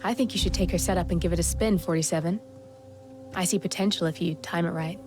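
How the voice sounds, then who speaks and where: A cool, shrewd female handler from a video game, voiced by an actress, advises in a lowish voice. She sounds dry and composed over clearly audible music. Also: fast talker, natural voice. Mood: dry, conspiratorial. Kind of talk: scripted video game dialogue.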